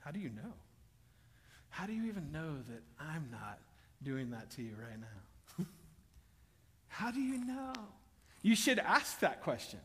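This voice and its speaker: A man preaching a sermon in a church auditorium, chatty and joking with the congregation, then building to loud, emphatic bursts. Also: fairly high pitch, very animated, a little slow, pauses often, in a large hall, slight background noise. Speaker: pastor.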